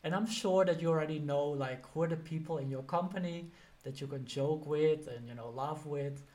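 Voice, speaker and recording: Male teacher, Dutch accent, slightly high voice, casual motivational delivery. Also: moderate pace, darkish tone. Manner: conversational, sprinkled with "you know" and "like". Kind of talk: motivational career advice talk.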